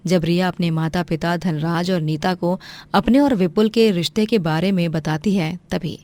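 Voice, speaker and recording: A woman's voice: lowish voice, steady tone, moderate pace, a little mellow, no room sound. A woman reading a scripted moral story as its narrator.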